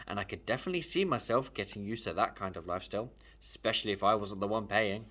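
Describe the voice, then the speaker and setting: A man reading a children's book aloud as a storyteller, in an expressive, emphatic way. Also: fairly low pitch, fast, slight background noise. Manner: animated, emphatic. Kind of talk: expressive read-aloud narration, first person.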